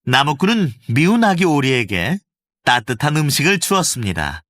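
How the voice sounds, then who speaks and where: A male voice actor narrating a children's fairy tale with gentle, expressive storytelling, recorded close in a studio. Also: on the high side, normal speed, no room sound.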